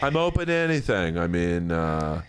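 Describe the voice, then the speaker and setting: A man's voice: low-pitched, big pitch swings, normal speed. A man, a comedian guest on a podcast, riffing crude jokes in casual, rambling banter.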